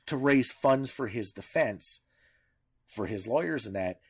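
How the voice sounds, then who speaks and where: A man co-hosting a casual discussion, talking haltingly, often searching for words and rephrasing as he goes. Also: mid-range voice, expressive, slightly rough. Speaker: podcast co-host.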